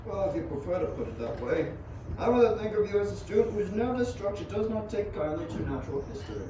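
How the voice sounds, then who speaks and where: A man's voice: high-pitched, big pitch swings, fast. A young man playing a courteous, approving university professor in an amateur radio play, with formal, genteel phrasing. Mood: amused, approving. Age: young adult. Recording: very echoey, distant, noisy.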